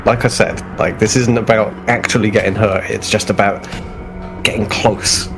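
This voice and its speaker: A man's voice: on the low side, steady tone, normal speed, vocal fry, over music. Man playing a stubborn, self-important martial-arts crewman. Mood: earnest, self-important. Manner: earnest, would-be sage. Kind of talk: tabletop game roleplay.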